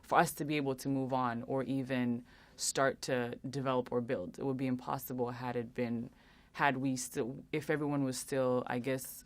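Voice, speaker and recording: A young woman answering interview questions earnestly, with many pauses and restarts. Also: rumbling low. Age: young adult. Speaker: interview guest.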